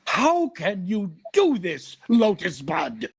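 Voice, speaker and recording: A man's voice: high voice, huge pitch swings, takes it slowly, vocal fry, slightly rough, dry recording, clean studio sound, heavily acted. A man playing a stuffy, easily scandalized husband in a 1940s-style radio sitcom, pompous and given to bluster. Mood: aghast.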